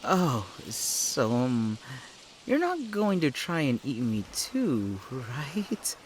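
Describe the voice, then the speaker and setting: A man's voice: mid-range voice, huge pitch swings, very slow, a little hiss or hum, full character voice. A man playing a young former police officer in an audio drama, earnest, hesitant and often puzzled. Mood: earnest, unsure. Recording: background music under the voice.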